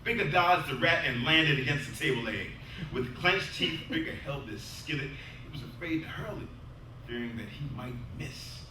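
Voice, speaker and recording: A man giving a dramatic, scripted reading of a novel, with deliberate phrasing. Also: medium pitch, expressive. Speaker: reader at a literary reading. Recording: echoey room from far off, clear noise.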